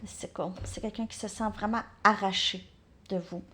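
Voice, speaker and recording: A woman giving a casual tarot card reading. She thinks aloud, repeats words and drops into soft asides. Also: medium pitch, steady tone, moderate pace, a little hiss or hum.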